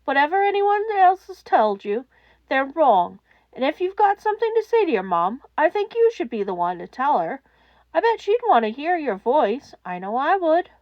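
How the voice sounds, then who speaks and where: A warm, sincere radio man, acted by a woman in an audiobook. He sounds gentle and reassuring, with very animated intonation. The recording is close and dry, a touch dull. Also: sits high, moderate pace, no echo, pitched above the performer's own voice. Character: warm, sincere adult male radio host consoling a caller. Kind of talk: audiobook character acting.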